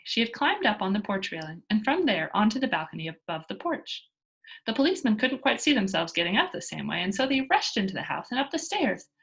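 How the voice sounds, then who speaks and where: Animated, slightly husky woman reading aloud. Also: medium pitch, poor audio. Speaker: children's book reader. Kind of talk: expressive read-aloud storytelling.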